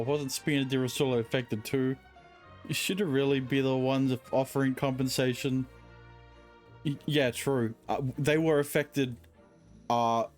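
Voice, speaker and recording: A man's voice: medium pitch, darkish tone. A man streaming a game casually, reading dialogue choices aloud and adding offhand, skeptical asides. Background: game music.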